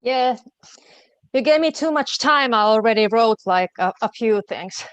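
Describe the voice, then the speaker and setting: High-voiced woman on a video call. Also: expressive, a little slow. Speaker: meeting participant.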